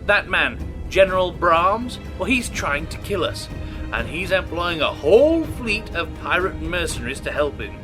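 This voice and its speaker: A man's voice: sits high, big pitch swings, normal speed, music in the background. Man playing a lilting, scheming starship officer. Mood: earnest, pressing. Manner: arch, insinuating, polite. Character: smooth-talking, scheming male disgraced officer, slyly persuasive. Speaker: starship officer, former captain. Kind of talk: science fiction audio drama acting.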